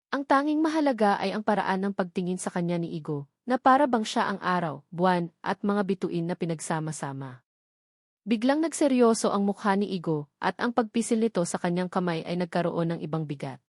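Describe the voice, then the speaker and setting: A woman's voice: mid-range voice, a little mellow, no echo. Filipino woman narrating romance, close and dry. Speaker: story narrator. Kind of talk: dramatic scripted romance narration.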